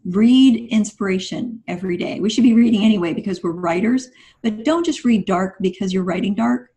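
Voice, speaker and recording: A woman's voice: mid-range voice, a little fast, warm tone. A woman speaking as a podcast guest, giving motivational advice in an emphatic, instructive and encouraging way.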